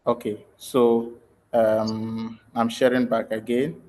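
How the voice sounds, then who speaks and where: Slow-paced man leading a tutorial. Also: medium pitch, little pitch movement, mellow, rough recording. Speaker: trainer in an online audio editing workshop. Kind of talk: live screen-share tutorial, informal explaining. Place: online video call.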